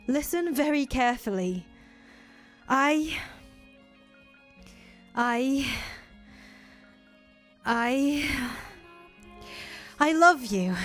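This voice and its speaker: A woman's voice: high voice, voice pushed up. A woman voicing a flustered young woman confessing her love, stammering and earnest.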